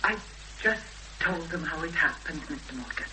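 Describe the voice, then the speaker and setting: A woman's voice: low voice, lively intonation, moderate pace, pushed and bright, heavily acted. An actress playing a small, protective Welsh farm woman, terse and defensive, in a 1970s radio drama. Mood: guarded. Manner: plain rural speech, guarded, terse. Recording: noisy old radio broadcast, hum and hiss.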